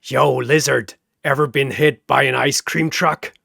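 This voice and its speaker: A man voicing a cocky, bad-tempered living snowman who taunts like a street tough in a gravelly rasp, in a fantasy battle story. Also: medium pitch, steady tone, measured pace, sharp tone, dry recording, clean studio sound, heavily acted. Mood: aggressive taunting. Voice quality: gravelly, rasping.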